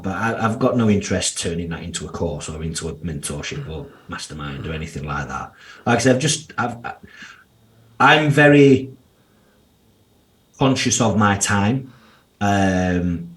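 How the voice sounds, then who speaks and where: Blunt Yorkshire man, lowish voice. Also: steady tone, normal speed. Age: 30s. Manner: candid, blunt, uses slang and swearing. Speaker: guest financial planner. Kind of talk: casual podcast interview.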